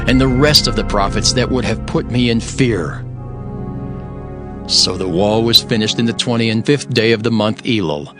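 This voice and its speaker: A man narrates scripture in a dramatized style, with a mid-pitched voice. His reading is solemn, formal and measured. Music is clearly present beneath him.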